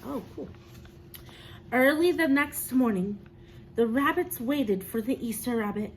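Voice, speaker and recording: A woman reads a children's picture book aloud in the manner of an encouraging teacher. Her voice sits a little high and she takes her time, speaking expressively to young listeners. There is some noise behind her. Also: lively intonation, low-quality recording. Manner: encouraging, pausing to ask and praise as a teacher does.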